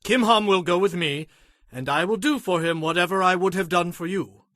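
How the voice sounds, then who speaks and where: A commanding king, played by a man, declaims decisively in a scripture drama. His voice is fairly high and forceful, recorded very dry. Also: normal speed, dry recording. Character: authoritative grown male king, decisive, magnanimous.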